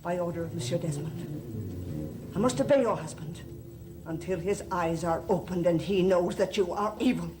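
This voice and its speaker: A woman's voice: on the low side, expressive, moderate pace, music in the background, close to an everyday voice. An actress playing a solemn, formal, centuries-old priestess devoted to her gods in a gothic soap opera. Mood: grave accusation. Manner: grave, formal, portentous.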